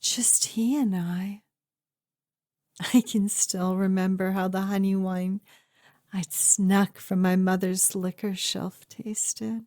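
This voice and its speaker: A woman playing a fierce, grieving huntress in a fantasy ASMR audio roleplay, speaking deliberately with halting, emotional pauses. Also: mid-range voice, fairly even intonation, darkish tone, natural voice. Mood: tender, wistful. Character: fierce grieving huntress interrogating a captured werewolf.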